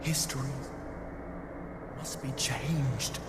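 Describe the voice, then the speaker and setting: A man playing a mysterious, solemn prophet who foretells doom in a video game cutscene, slow and portentous. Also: medium pitch, very noisy. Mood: ominous. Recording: echoing voice under loud music.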